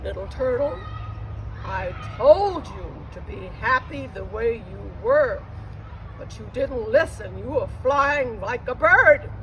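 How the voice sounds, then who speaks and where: A woman plays a wise Creator spirit from a storybook, calm and firm. The words come very deliberately and slowly, as patient instruction, with clear outdoor noise around the voice. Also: a little high, dull audio, pitched below the performer's own voice. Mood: chiding.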